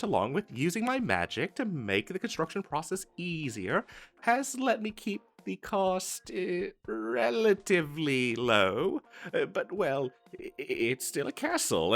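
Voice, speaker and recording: A man's voice: fairly high pitch, fairly bright tone, full character voice. A man voicing an eager, boastful wizard salesman in a comedic fantasy audio roleplay, with theatrical emphasis and a stammering, hard-selling manner. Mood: flustered, defensive. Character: eager, boastful male wizard salesman hawking magical wares. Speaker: street vendor character in an audio roleplay.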